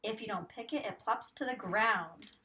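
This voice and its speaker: A woman teacher reading a picture book aloud to young children, expressive and sing-song, pausing to ask guessing questions. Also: mid-range voice, recorded from a distance, a little hiss or hum.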